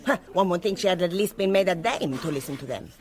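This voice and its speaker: A woman's voice: lowish voice, lively intonation, quick pace, close to an everyday voice. An actress playing a haughty, outspoken foreign woman teacher in a radio drama, dramatic and disdainful. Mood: sardonic.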